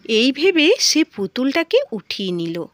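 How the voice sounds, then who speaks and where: A woman storyteller narrating a children's story in an expressive, dramatic and emphatic way. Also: a little high, measured pace, dry recording.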